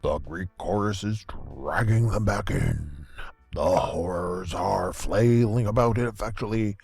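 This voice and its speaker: An actor playing a deadpan small-town radio host, giving measured, folksy play-by-play of cosmic horror in an audio comedy. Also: male voice, sits low, vocal fry, breathy edge, no echo, soft background music, full character voice. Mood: intrigued, dramatic. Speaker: co-host and commentator.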